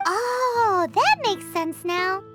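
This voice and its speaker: Very high-pitched tiny fairy companion. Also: female voice, drawn-out words, loud music behind the voice, exaggerated voice. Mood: earnest. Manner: exclamatory, refers to itself by name. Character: small, childlike, chirpy female fairy companion, loyal and curious. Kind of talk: video game voice acting.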